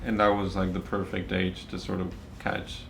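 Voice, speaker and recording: A man's voice: low-pitched, completely flat delivery, normal speed, vocal fry, fairly bright tone, slight background noise. A young man from Venezuela volunteering at a charity kitchen, talking in an easygoing way and laughing often. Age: young adult. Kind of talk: casual conversation.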